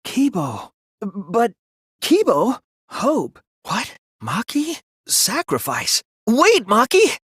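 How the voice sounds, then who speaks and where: A man voicing an earnest, sensitive young student detective in an anime video game, with intense, emotional, sometimes halting delivery. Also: extremely high pitch, huge pitch swings, a bit bright, husky, no room sound, studio quality, exaggerated voice. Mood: shocked, disbelieving. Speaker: voice actor.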